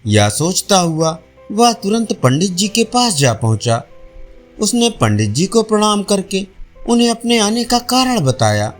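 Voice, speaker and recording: A man narrating a scripted folk tale as a storyteller, in an expressive manner. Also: high voice, measured pace. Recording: faint music behind narration. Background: soft instrumental music.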